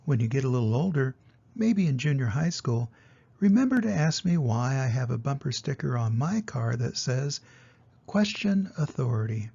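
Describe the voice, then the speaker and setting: An older man, a grandfather with a husky, gravelly voice, reading a children's storybook and gently explaining it to young listeners. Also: mid-range voice, very animated, normal speed, lo-fi sound.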